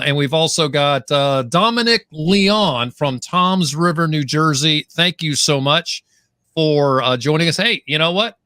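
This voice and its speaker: A man hosts a live-streamed business interview. He sounds effusive and upbeat, and his bright, slightly raised voice comes through dry and close to the mic. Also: a little high, no room sound. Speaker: podcast host.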